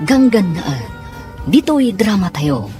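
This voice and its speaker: A woman's voice: medium pitch, very animated, unhurried. A woman with an Ilocano accent narrating and announcing a scripted radio drama over music. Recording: music behind the voice.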